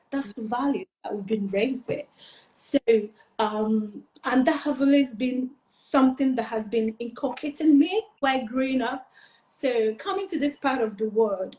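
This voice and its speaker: A woman's voice: a little high, darkish tone, roomy sound, low-quality recording. A woman from eastern Nigeria, a pageant finalist, answering interview questions in an earnest, rambling way with many fillers.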